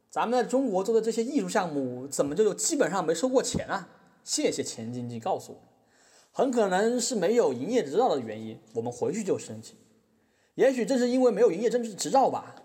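Male, ironic mock-humble artist as acted for courtroom satire, higher voice with big pitch swings. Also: a little mellow. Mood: mock apology. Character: wry, mock-apologetic male artist defending himself in court. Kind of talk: scripted satirical monologue in a podcast drama.

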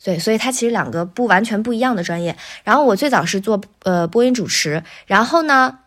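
A woman chatting casually as a guest, telling about her work as a voice actor with animated storytelling. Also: medium pitch, brisk. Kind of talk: casual interview chat, recounting a career.

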